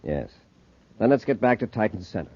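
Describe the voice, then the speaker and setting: An actor playing an authoritative, level-headed space commander in a mid-century radio adventure. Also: male voice, fairly low pitch, big pitch swings, fairly quick. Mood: grave. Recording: noisy, muffled old radio recording.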